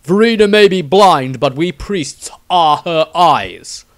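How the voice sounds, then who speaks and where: A man playing a stern, righteous male priest who declaims forcefully in a fantasy audiobook. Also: a little high, animated, takes it slowly, no echo, clean studio sound, voice pushed up. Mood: righteous, commanding.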